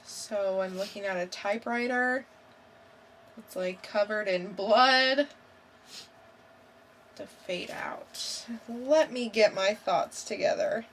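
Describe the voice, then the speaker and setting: A woman's voice: mid-range voice, slow, pauses often, fairly bright tone, a little hiss or hum. A woman co-hosting a podcast, chatting casually and informally about comics, often thinking aloud in a hesitant way. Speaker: podcast co-host reviewing comics. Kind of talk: casual chat.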